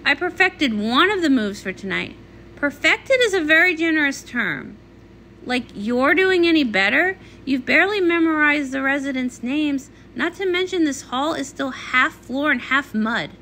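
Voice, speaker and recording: A woman performs a comic read-along in a high, bright voice. The reading is theatrical and swings widely between characters, over faint background noise. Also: expressive, normal speed.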